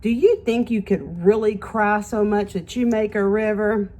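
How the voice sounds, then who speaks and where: A woman's voice: medium pitch, a little slow, warm tone. A woman teacher giving a read-aloud lesson to young children, speaking expressively in simple words and addressing them directly with questions and praise.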